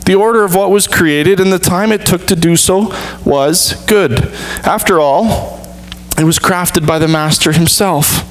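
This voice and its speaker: A man in his thirties, a pastor, preaching an earnest sermon with measured, deliberate pauses from a church stage. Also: sits high, a little hiss or hum, poor audio.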